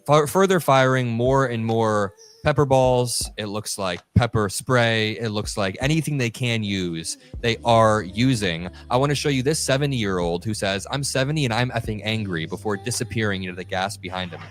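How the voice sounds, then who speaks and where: A man's voice: on the low side, normal speed, hardly any pauses. Indignant male political commentator. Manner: indignant and emphatic. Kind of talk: online news commentary.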